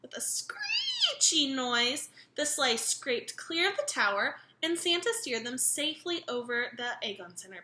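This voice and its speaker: A woman's voice: high-pitched, reverberant, background noise. A woman reading a children's picture book aloud expressively, engaging her young listeners as she goes. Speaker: read-aloud storyteller.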